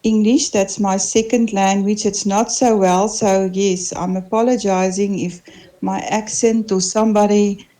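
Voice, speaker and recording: A middle-aged woman with a South African accent, whose first language is Afrikaans, co-hosts a relaxed online radio show. She speaks slowly and pauses to hunt for the right English word. Her voice is warm and mellow. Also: mid-range voice, rough recording. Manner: hesitant, searching for words. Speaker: co-host. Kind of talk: casual internet radio chat.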